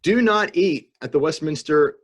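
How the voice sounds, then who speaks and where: A man co-hosting a podcast with dry, deadpan joking in casual banter over a video call. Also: a little high, normal speed. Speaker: podcast co-host.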